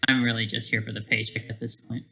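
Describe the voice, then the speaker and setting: A man talking casually on a livestream, rambling and halting, often trailing off mid-thought, with a sardonic, self-deprecating streak. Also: mid-range voice, level tone, sharp tone.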